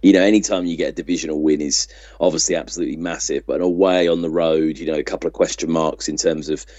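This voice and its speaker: A man's voice: extremely low pitch, steady tone, quick pace, a little mellow, dry recording. A man co-hosting a sports podcast, casually giving his opinions, on slightly dull lo-fi audio. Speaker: podcast co-host.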